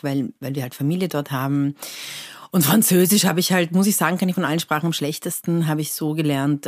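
Low-voiced Persian-born woman, dry sound. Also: no echo. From Iran. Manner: animated, lively storytelling with many fillers. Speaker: cabaret artist guest. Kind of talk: casual interview chat.

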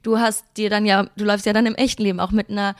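A woman's voice: mid-range voice, flat delivery, very fast, no room sound, studio quality. A woman co-hosting a casual podcast, asking questions in a playful, self-mocking way. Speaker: podcast co-host.

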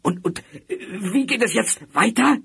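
An actor playing an excited, impatient villain in a 1970s radio play, with a raspy, creaky voice. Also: male voice, very high-pitched, a little slow, full character voice. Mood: eager, urging.

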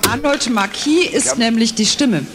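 A man with a gravelly voice delivering scripted lines. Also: very high voice, moderate pace, fairly bright tone, a little hiss or hum.

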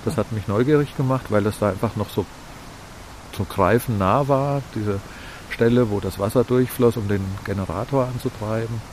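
A man being interviewed on a walk outdoors, explaining local things conversationally and haltingly as he thinks. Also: lowish voice, a little mellow, poor audio. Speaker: interviewee, music school director and local guide. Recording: outdoor field recording with faint hiss.

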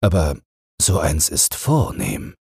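A man voicing a friendly old man who tells fairy tales, with a kindly, musing, unhurried manner. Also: rumbling low, wildly swooping pitch, gravelly, darkish tone, husky, no room sound, full character voice.

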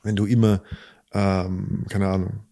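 Male podcast co-host, lowish voice, reflective casual chat. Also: flat delivery, a little slow, creaky, mellow. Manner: reflective, thinking aloud. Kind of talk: casual conversation about relationships.

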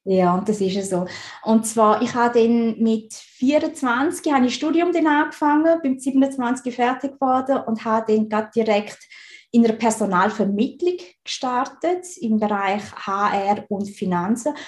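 Swiss woman in her 30s, bit high voice, chatty, echoey room. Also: normal speed, a little mellow. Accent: Swiss German. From Switzerland. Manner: chatty, laughs easily, frequent restarts. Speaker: guest, recruiting consultant. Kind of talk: casual interview talk. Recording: roomy echo.

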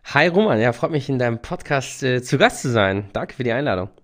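Eager young lawyer guest. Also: male voice, mid-range voice, lively intonation, brisk, fairly bright tone. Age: young adult. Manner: eager, many fillers. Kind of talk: casual interview talk.

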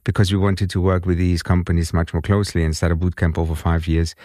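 A man's voice: sits low, flat delivery, quick pace, dark tone, dry recording, studio quality. A man in his fifties who grew up in Germany, with an accent that mixes British and German, telling his story as an interview guest with frequent restarts and repeated words. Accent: mixed British and German. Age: 50s. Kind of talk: conversational interview.

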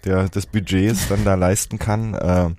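A man speaking as a guest developer, explaining technical matters off the cuff in a halting way with frequent hesitations. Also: low-pitched, level tone, darkish tone, dry recording. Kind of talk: spontaneous technical explanation in discussion.

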